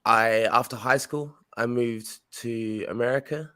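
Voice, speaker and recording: A man's voice: medium pitch, fairly even intonation, unhurried, pushed and bright, clean tone. A young man from Australia, a guest in a casual interview, talking in a laid-back, rambling way with many restarts.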